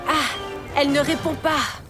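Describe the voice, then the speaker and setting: A woman's voice: high-pitched, fairly even intonation, a little slow, over music. A woman voicing a clever, level-headed young dinosaur in a children's cartoon, explaining things with confidence. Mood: urgent, resolute. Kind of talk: children's cartoon dubbing in French.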